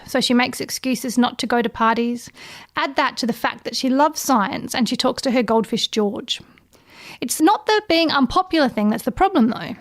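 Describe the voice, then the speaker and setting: High-pitched woman presenting book recommendations. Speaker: book reviewer recommending children's books. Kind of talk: prepared book recommendation segment.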